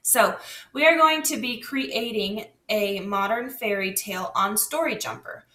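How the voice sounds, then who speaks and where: A woman's voice: mid-range voice, a little slow, pushed and bright. A woman teacher leading a lesson patiently, guiding with questions. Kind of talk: scripted classroom lesson. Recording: echoey room, distant mic.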